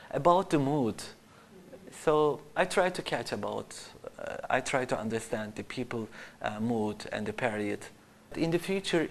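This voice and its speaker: A designer gives a casual interview in English. The voice sits at a middle pitch. The delivery is halting, with short phrases and frequent searching for the right word. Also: a little hiss or hum. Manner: halting, searching for words in non-native English. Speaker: costume designer being interviewed.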